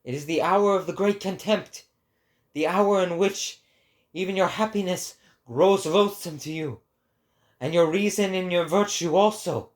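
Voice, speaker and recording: A man voicing an impassioned prophet preaching to a crowd, declaiming grandly and deliberately in a dramatic philosophical reading. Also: high voice, voice pushed up. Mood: solemn fervor.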